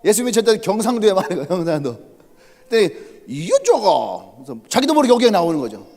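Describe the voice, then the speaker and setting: A male pastor with a Chungcheong accent preaches in a high, lively voice that rises and falls as he tells the story with humor. He keeps putting questions to the congregation, and a long church reverb hangs on his words. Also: rapid-fire. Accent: Chungcheong Korean. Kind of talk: sermon. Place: church sanctuary.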